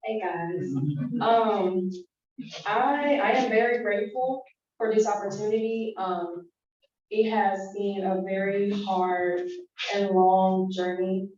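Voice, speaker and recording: A young woman from Puerto Rico whose first language is Spanish, giving an earnest, halting thank-you speech full of ums as a scholarship recipient. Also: mid-range voice, fairly even intonation, darkish tone, a little hiss or hum. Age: young adult. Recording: echoey hall, distant and dull.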